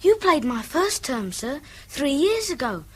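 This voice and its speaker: High-voiced, deferential schoolboy. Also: female voice, sing-song, measured pace. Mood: respectful, hopeful. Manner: deferential, clipped. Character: polite, eager young schoolboy. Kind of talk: radio play acting.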